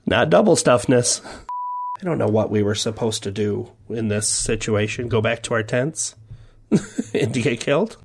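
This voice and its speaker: A man's voice: medium pitch, normal speed, vocal fry, slightly rough. A man on a tabletop game podcast, bantering and making wry jokes about the session. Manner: playful and jokey. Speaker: podcast player. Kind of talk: joking banter.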